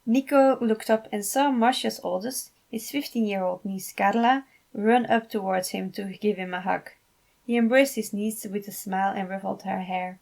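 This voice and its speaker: A woman narrates a scripted story in a mid-pitched voice. There is clear vocal fry in her delivery as she reads the fan fiction aloud. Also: fairly even intonation, normal speed, a little mellow.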